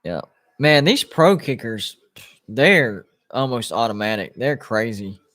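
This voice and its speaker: A man's voice: medium pitch, lively intonation, unhurried, fairly bright tone. A man in his late thirties hosts a live sports watch-party stream, chatting casually and reading viewer comments aloud. His talk rambles, with plenty of restarts and fillers. The sound is dry and close, with little room echo. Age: 30s. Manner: rambling, restarts, lots of "like" and "you know".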